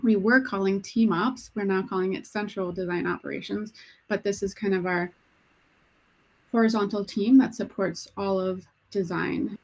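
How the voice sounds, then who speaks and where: A woman panelist on a video call, introducing her career in a matter-of-fact, brisk way. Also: mid-range voice, fairly even intonation, low-quality recording.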